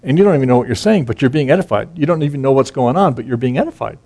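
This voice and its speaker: A man teaches on scripture in a relaxed, conversational manner. His voice sits at a middle pitch. He often restarts phrases and adds asides while he explains. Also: racing. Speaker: Bible teacher. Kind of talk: informal Bible teaching.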